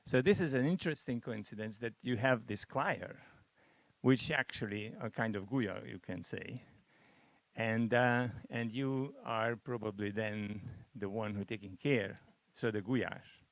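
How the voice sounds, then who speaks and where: A man from Hungary, a Hungarian speaker, making halting remarks in a masterclass discussion, full of restarts and fillers. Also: on the low side, gravelly, no echo. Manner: hesitant, many restarts and fillers. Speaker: masterclass participant.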